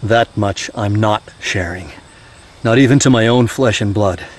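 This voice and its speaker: An actor playing a weary, haunted middle-aged soldier and gentle father in an audio drama, halting and confiding. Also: lowish voice. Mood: haunted, hesitant. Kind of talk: naturalistic audio drama acting. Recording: steady outdoor night-insect noise.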